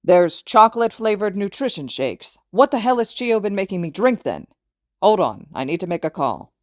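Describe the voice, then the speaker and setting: A woman's voice: medium pitch, sing-song, moderate pace, no room sound, clean studio sound, pitched above the performer's own voice, close to an everyday voice. A woman voicing a genial older man, a mentor in an audiobook, hearty and slightly formal. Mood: grumbling, playful.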